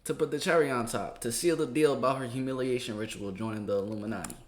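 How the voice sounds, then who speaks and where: A man's voice: medium pitch, fast talker. American man with slangy talk, echoey room. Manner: street slang, conversational. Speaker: host of a reaction channel. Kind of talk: casual commentary reacting to an interview.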